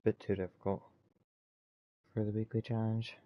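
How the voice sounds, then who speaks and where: Low-voiced man muttering through gameplay. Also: flat delivery, normal speed, no echo, highs cut off. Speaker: video game streamer. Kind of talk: casual gameplay commentary, thinking aloud. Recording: clearly noisy background.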